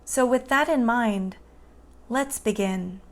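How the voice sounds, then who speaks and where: A woman guiding a meditation in a soothing, gentle and unhurried manner. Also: a little high. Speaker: meditation guide. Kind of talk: guided meditation narration.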